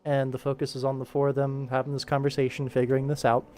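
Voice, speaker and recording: Mid-pitched man casually explaining his work. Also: monotone, quick pace, darkish tone, soft background music. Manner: explanatory, thinking aloud. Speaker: animation director streaming his work. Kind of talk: casual livestream work commentary.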